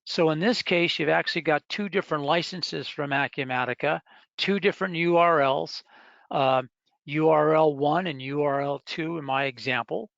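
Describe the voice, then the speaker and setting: A man with a slightly high voice gives an explanatory product presentation on a web call. He pauses and hesitates as he works through each point. The sound is dry and a little dull, like lo-fi webinar audio. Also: vocal fry, a bit bright, dry recording.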